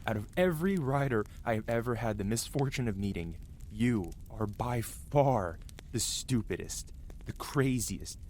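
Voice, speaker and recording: Guy doing a grumpy tsundere dragon telling off his rider, emotional asmr roleplay, mid pitch, bit of noise behind. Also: darkish tone, natural voice. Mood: angry, scolding. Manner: stern, emotional, dramatic scolding. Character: tsundere male dragon mount, angry, scolding yet protective of rider. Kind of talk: fantasy ASMR roleplay monologue.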